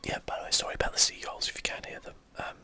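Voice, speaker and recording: Southern English male, bright breathy whisper, relaxed chatty ASMR game host thinking aloud. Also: very fast. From southern England, UK. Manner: casual, chatty, musing aloud. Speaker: host of an ASMR gaming channel.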